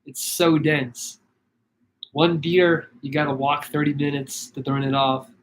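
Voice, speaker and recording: A man's voice: on the high side, fairly even intonation, a little slow, low-quality recording. A man from Belgium chatting casually as a stream host, rambling with many restarts and fillers while answering viewer questions. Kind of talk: casual chat reading comments.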